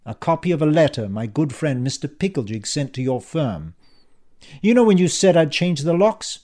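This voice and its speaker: A man voicing a cheeky male schemer in an audiobook, calm, confident and mockingly polite as he taunts. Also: fairly high pitch, normal speed. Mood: smug. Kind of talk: audiobook character dialogue.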